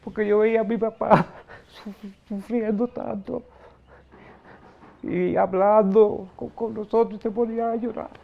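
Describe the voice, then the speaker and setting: An older man with a gravelly voice, recalling memories in a documentary interview. He speaks in a halting way. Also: high-pitched, fairly even intonation. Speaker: interviewee, son of a refugee. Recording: dull lo-fi recording.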